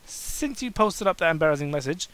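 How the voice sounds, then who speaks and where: A man's voice: fairly high pitch, lively intonation, fairly quick, a bit bright, a little hiss or hum. A man voicing a big masked male wrestler in a comic story reading, smug, taunting and hammy. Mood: teasing.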